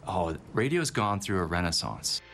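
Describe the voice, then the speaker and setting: A man with a fairly low voice speaks earnestly and conversationally, like someone making a case for a cause. Loud guitar-backed music plays behind him throughout. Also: sing-song, normal speed. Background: prominent instrumental music with guitar.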